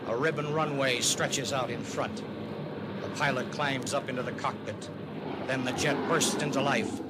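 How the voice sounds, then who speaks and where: An American man narrating a 1950s radio civil defense documentary in a grave, emphatic, deliberate manner. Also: medium pitch, steady tone, heavy background noise, lightly acted. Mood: grave, urgent. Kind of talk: 1950s radio documentary narration, dramatic public-service announcing. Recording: vintage 1950s radio recording.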